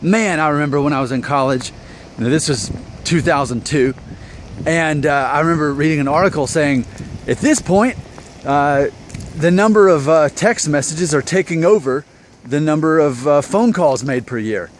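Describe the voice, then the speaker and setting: A man's voice: fairly high pitch, moderate pace, slight background noise. A man in his thirties talking casually to viewers in an opinion vlog, conversational and self-deprecating, full of rhetorical questions. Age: 30s. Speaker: vlog host.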